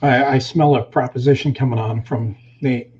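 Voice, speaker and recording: A man's voice: medium pitch, steady tone, moderate pace, a little mellow, a little hiss or hum. A man hosting a casual live show and relaying viewer comments, heard over a slightly muffled line. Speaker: host, reads viewer comments.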